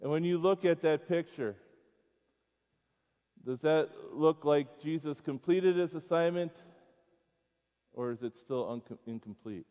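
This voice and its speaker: This is a middle-aged American man speaking at a school chapel in a fairly high male voice. He preaches informally to children, in short halting phrases with questions mixed in. The sound is dull and carries the long reverb of a church hall.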